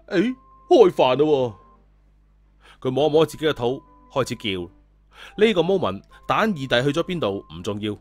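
A man with a Cantonese accent narrating a story in a lively, colloquial scripted style. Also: medium pitch. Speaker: audiobook storyteller. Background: background music.

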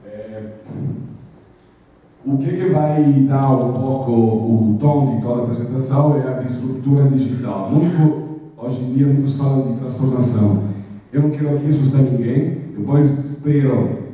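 A man's voice: medium pitch, normal speed, dark tone. A middle-aged man with an Italian accent giving a conference talk on stage, hesitant with many filler sounds. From Italy. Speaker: speaker at a business event. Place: large auditorium with a stage. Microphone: handheld mic, far camera. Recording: echoey hall from far off.

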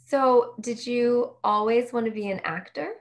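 A woman's voice: on the high side, unhurried. A woman hosting an interview, asking short open questions and sharing her own experience with empathy. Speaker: interview host.

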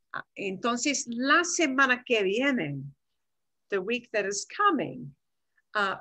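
Bright-voiced older woman teaching Spanish online. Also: mid-range voice. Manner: mixes Spanish and English, explains patiently with asides. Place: home video call. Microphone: headset.

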